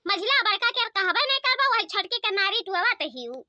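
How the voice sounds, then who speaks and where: A woman's voice: very high-pitched, big pitch swings, moderate pace, bright, forceful tone, dry recording, highs cut off, full character voice. A woman voicing an aggrieved young village mother and daughter-in-law in a comic cartoon, complaining and exclaiming in an Awadhi accent. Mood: aggrieved grumbling.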